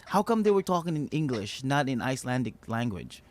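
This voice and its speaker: Animated man co-hosting a movie chat. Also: mid-range voice, fairly quick, a little hiss or hum. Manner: animated, laughs often, many fillers. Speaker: podcast co-host. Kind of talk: casual movie review chat.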